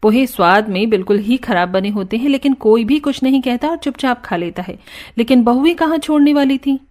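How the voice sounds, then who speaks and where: High-voiced woman narrating a cartoon story. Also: brisk. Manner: even, storytelling. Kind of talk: scripted narration.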